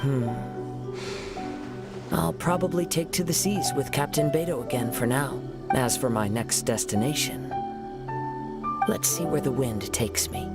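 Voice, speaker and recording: A young man acting a gentle, reflective wandering samurai in a video game, soft-spoken, with formal, poetic phrasing. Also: medium pitch, measured pace, long pauses, close to an everyday voice. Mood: calm, warm. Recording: voice over loud background music. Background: game music.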